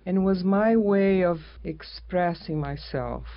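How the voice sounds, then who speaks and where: A middle-aged woman from Brazil, a painter guest in an interview, speaking in a soft, halting way with many restarts. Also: on the low side, lively intonation, no echo. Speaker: guest artist. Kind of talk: casual interview conversation. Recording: dull lo-fi sound.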